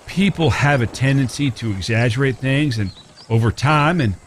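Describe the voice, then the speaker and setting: A man acting in an audio drama as a courteous, smitten Old West drifter with a rough past, speaking folksy, polite lines in an American Western accent. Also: medium pitch, moderate pace, a little hiss or hum, lightly acted. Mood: earnest. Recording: clear background ambience with animal sounds.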